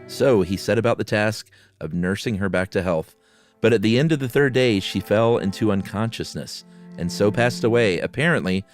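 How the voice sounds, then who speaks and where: Low-voiced man reading a spooky story. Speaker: podcast co-host. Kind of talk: dramatic reading of a horror short story with casual asides. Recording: music behind the voice.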